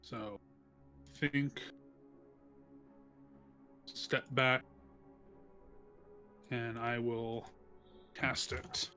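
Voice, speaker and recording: A man's voice: medium pitch, fairly even intonation, drawn-out words, long pauses, bright, forceful tone. A man talking casually as a player at a tabletop game, with faint music behind him.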